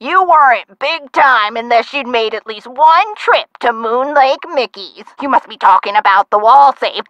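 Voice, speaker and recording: A woman playing a feisty, sharp-tongued elderly woman who tells theatrical stories of her youth in a video game. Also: fairly high pitch, expressive, measured pace, few pauses, dry recording, highs cut off. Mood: nostalgic, animated. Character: feisty, sassy elderly woman, nostalgic for the twenties. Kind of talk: video game character acting.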